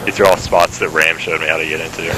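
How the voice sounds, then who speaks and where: A man's voice: very deep voice, level tone, quick pace. A man chatting casually as he plays a game with a friend, rambling and exclamatory, on a very noisy recording. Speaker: gaming partner. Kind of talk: casual chat while gaming. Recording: very loud noise with clicks.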